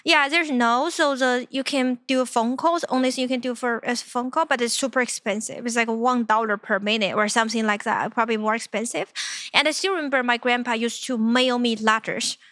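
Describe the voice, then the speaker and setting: A young woman from China speaks English with a Chinese accent, her voice a touch higher than most. She recounts her past in a halting, searching way, full of little fillers. The sound is close and dry. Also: fairly even intonation, bright, forceful tone, no room sound. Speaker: interview guest, company founder. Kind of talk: personal storytelling in conversation.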